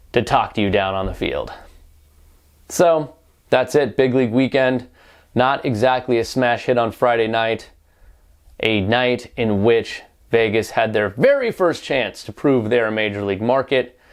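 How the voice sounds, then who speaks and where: A man delivering opinionated sports news commentary as a reporter, wry and pointed, often posing rhetorical questions. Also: fairly low pitch.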